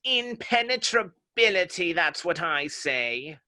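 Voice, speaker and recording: A man's voice: sits high, very animated, slow, bright, forceful tone, exaggerated voice. A man playing a haughty, touchy, pedantic egg character in a dramatic reading of a children's classic. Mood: pompous, contradicting.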